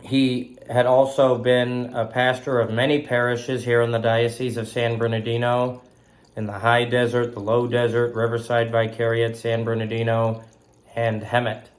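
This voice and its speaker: A man in his thirties from Southern California, hosting a faith broadcast while recovering from illness. He talks slowly and haltingly, with fillers and a slight cough. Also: mid-range voice, completely flat delivery. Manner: slow, halting, with frequent fillers and throat clearing. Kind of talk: casual spoken tribute.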